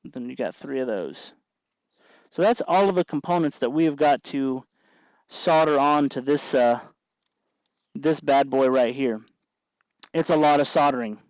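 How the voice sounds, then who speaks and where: A man hosting a casual hands-on tutorial, explaining in a halting way as he works. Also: medium pitch, dry recording, rough recording. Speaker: host of a DIY electronics tutorial.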